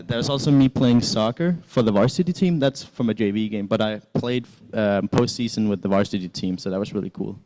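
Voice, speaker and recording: Teen guy from Sweden, chatty presenter, mellow dark voice, mid-pitched. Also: rough recording. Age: teens. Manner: chatty, rambling, many fillers and restarts. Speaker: exchange student presenter. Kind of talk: casual presentation with slides.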